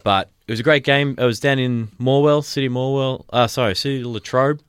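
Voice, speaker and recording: An Australian man hosting a sports podcast in a studio, chatting casually with a relaxed, rambling delivery. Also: mid-range voice, brisk, clear, resonant tone, dry recording. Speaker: podcast host. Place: radio studio. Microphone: studio mic.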